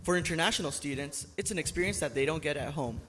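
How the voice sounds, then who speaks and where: A man's voice: fairly high pitch, lively intonation, racing, big-room sound, background noise. A man narrating a student TV news feature in a scripted reporter's delivery.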